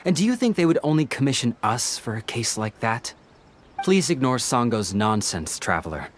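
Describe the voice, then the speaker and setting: An actor playing an earnest, polite young male detective's assistant in a video game, with an expressive, pleading manner that is easily exasperated. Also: mid-range voice, normal speed, a little hiss or hum, natural voice. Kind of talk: English video game voice acting. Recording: background music under the dialogue.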